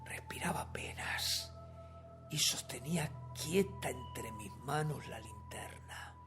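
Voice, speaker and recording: Obsessive nervous killer, Uruguayan accent, radio horror tale, slow theatrical delivery, gravelly creak. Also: male voice, medium pitch, lively intonation, whispered, music in the background. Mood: hushed tension. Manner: theatrical, drawn-out, swinging between hushed and forceful. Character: nervous, obsessive male murderer insisting he is sane. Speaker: radio theatre actor narrating. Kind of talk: dramatic first-person horror story reading, radio theatre acting.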